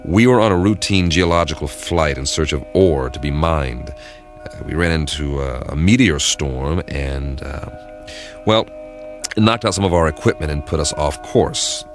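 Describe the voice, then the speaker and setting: A weary spaceship captain talks in a deep voice, earnest and a little halting. He sounds baffled but polite, in classic radio drama style, with faint music behind him.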